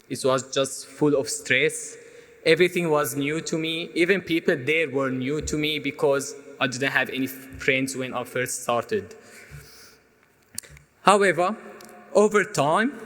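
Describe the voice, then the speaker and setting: A young man from Syria whose first language is Arabic, giving an earnest, measured prepared speech as a student speaker, with small restarts. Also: on the high side, fairly bright tone. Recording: hall reverb.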